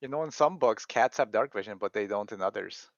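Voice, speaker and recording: A man's voice: on the low side, brisk. Male DM, teasing, rules-checking, muffled lo-fi recording. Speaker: dungeon master. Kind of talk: casual tabletop game chat. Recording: dull lo-fi sound.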